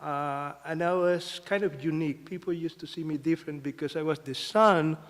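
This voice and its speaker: A man from Mexico telling a personal story to an audience as a panelist, halting with fillers and restarts. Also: a little high, steady tone. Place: museum event hall. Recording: long reverb of a hall.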